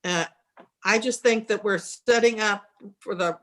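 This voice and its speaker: A woman's voice: medium pitch, a little slow. Hesitant woman at a committee meeting. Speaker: committee member. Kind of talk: meeting discussion.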